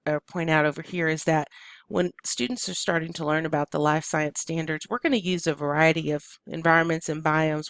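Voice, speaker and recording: A presenter walks teachers through a plan with a gravelly, fry-laden voice made froggy by allergies. The talk keeps stalling on ums and uhs. The sound is dry and close, with no room around it. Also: mid-range voice, a bit bright, no echo. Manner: hesitant, many ums and uhs. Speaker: science curriculum presenter for teachers. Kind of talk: recorded curriculum walkthrough.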